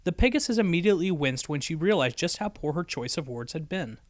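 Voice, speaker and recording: Guy reading a story out loud, kinda high voice, dry close sound, scripted narration. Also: expressive, a little fast, no echo. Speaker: audiobook reader.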